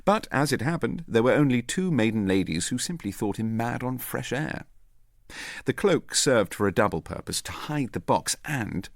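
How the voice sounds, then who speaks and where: A man reading as a self-assured British gentleman agent who recounts a clever trick in an urbane, methodical way. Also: on the low side, dry recording, studio quality. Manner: urbane, methodical, quietly pleased with himself.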